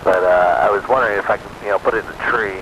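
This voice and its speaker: An American man chatting casually over a two-way radio, a bit halting as he explains himself. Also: fairly low pitch. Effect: two-way radio transmission. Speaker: amateur radio operator on a group net. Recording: noisy two-way radio with steady background noise.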